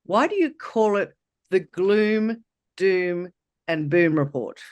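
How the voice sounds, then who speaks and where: A man's voice: high voice, animated, takes it slowly, lo-fi sound. A male podcast host giving chatty, upbeat introductions and asking questions in an interview.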